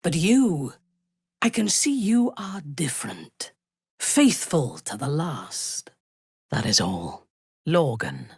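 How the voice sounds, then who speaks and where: Haughty, slow-speaking, ruthless warrior zealot. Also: female voice, low-pitched, big pitch swings, breathy edge, dry recording, heavily acted. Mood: cold, commanding. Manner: imperious, measured, menacing. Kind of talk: video game character voice lines.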